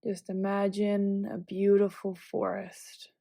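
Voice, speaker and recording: Slow, mellow-voiced woman guiding meditation. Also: mid-range voice, creaky, dry recording. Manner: calm, gentle, measured cueing with long pauses. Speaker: guided meditation leader. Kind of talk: guided visualization meditation, instructional.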